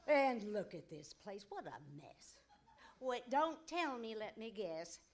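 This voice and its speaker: A woman's voice: on the high side, sing-song, measured pace. An actress playing a nagging, brassy old mother of a cowboy in an old-time radio comedy, scolding and folksy.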